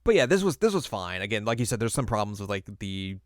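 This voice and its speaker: Man, podcast co-host, clean smooth voice, dry close sound, informal film chat, dryly joking. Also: on the low side, very animated, quick pace, no echo. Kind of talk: casual film review chat.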